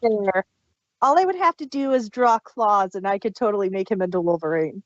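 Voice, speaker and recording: A woman's voice: mid-range voice, brisk, no room sound, highs cut off. A woman co-hosting a podcast, talking casually as a fan in discussion. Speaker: podcast co-host.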